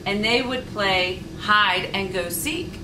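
A middle-aged woman reading a children's storybook aloud with deliberate, expressive phrasing. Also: mid-range voice. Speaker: volunteer story reader. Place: indoor sitting room with leather sofa. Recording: roomy, distant mic, faint noise.

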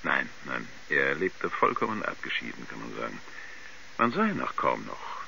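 Very deep, gravelly folksy male neighbour. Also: big pitch swings, pushed and bright, heavily acted, low-quality recording. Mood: hesitant, chatty. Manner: halting, folksy, dismissive. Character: folksy, skeptical male neighbour, chatty and hesitant. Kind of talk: 1970s German radio drama acting. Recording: hissy, band-limited 1970s radio recording.

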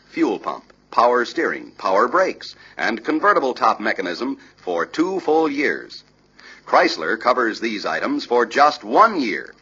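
An American man narrates an early 1960s sales training film with a bright, forceful voice. His pitch moves briskly up and down as he drives each point home persuasively. The sound is a slightly dull, lo-fi old film soundtrack. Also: husky. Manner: emphatic, persuasive salesman-like delivery.

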